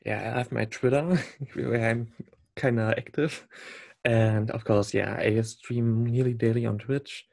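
A man from Germany with a German accent, speaking as a podcast guest in casual, halting talk with frequent chuckles. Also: medium pitch, steady tone, gravelly, a little mellow. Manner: halting, restarts words, chuckles often. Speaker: podcast guest, competitive game player.

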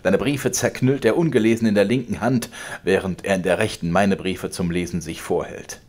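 Guy doing a bossy old dad yelling at his son, deep husky voice, storybook reading. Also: steady tone, normal speed. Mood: scornful. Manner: loud, mocking, accusing. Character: domineering old father, ranting and triumphant. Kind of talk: audiobook character voice.

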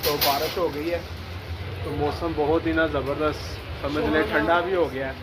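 Pakistani guy in his 30s, selfie phone vlog, fairly high voice, loud rainy street noise behind. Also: steady tone, moderate pace. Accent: Pakistani Urdu. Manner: chatty, keeps saying "to" between sentences. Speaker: vlogger. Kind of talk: casual vlog to camera. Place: outdoors under a shop shed in the rain at night. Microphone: phone at arm's length, selfie.

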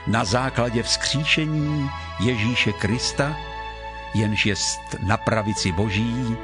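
A man reads scripture solemnly from a script, with measured, deliberate phrasing, as an audio Bible reader. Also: mid-range voice. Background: background music.

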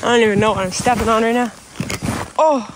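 Woman vlogger, thirties, Canadian accent, high voice, playful chat, recorded outdoors with clear background noise. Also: fast talker. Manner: playful, chatty. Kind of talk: casual chat to camera and to a tractor. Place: outdoors in snow, around a tractor and yard.

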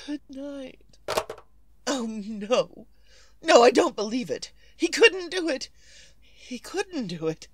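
A woman's voice: sits high, very animated, slow pace, pauses often, exaggerated voice. A woman playing a nervous, demanding invalid wife in a melodramatic 1940s radio suspense style. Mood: distraught, panicked.

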